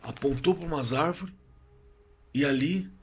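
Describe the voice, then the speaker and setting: A man with a Brazilian accent playing a shaken working-class man who haltingly recalls a frightening night in an audio drama, repeating himself and trailing off. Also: on the high side, a little hiss or hum, lightly acted. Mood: uneasy, remembering. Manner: halting, repetitive, colloquial, trailing off. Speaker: eyewitness telling his own horror story. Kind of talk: informal spoken testimony in an audio drama.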